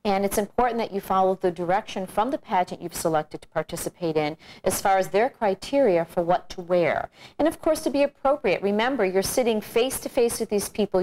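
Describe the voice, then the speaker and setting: A woman's voice: mid-range voice, a little mellow, a little hiss or hum, poor audio. Encouraging middle-aged woman coaching pageant hopefuls. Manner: measured, encouraging advice with fillers. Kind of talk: instructional video presentation.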